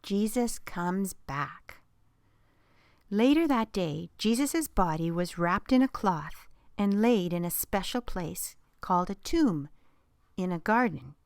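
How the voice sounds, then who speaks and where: A woman reading a Bible story aloud for children, telling it expressively and dropping to a hushed whisper at dramatic moments. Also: medium pitch, expressive, takes it slowly, no room sound, clean studio sound.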